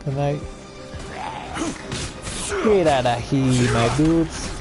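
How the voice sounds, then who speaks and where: A man's voice: medium pitch, extremely slow, loud music behind the voice. A man giving casual, informal, sweary commentary while he plays and reacts to a video game. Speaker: gamer playing and reacting on video.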